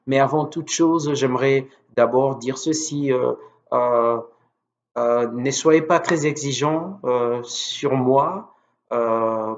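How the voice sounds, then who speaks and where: A man's voice: medium pitch, takes it slowly. A man who is a native Lingala speaker preaches informally on video, earnest and devout, hesitating and repeating words as he goes. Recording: dull, lo-fi sound.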